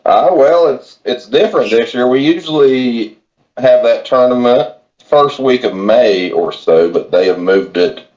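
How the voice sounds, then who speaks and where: A man's voice: medium pitch, measured pace, a little mellow. A man from West Virginia chatting casually as a podcast guest, plainspoken and folksy, over slightly lo-fi call audio. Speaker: podcast guest, kayak angler. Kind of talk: casual chat, informal advice.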